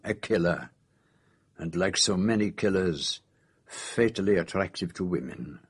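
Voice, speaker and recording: Shrewd belgian french detective, lowish husky voice, very precise and sure of himself, radio play, dry sound. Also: male voice, expressive, no echo, heavily acted. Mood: reflective, kindly. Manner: precise, measured, theatrical explaining. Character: shrewd, methodical foreign male detective, courteous and self-assured. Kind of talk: radio drama acting.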